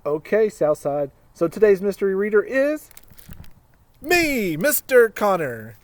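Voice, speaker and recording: A man reading a children's story aloud in a measured way, with pauses between phrases. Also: high-pitched, sing-song, dry recording, recorded from a distance. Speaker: storybook reader.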